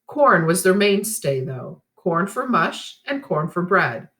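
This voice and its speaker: A woman with a slightly low voice reads a storybook aloud to children. Her pitch moves in a lively way, and her phrasing is expressive and deliberate, like a teacher reading to pupils. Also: roomy sound.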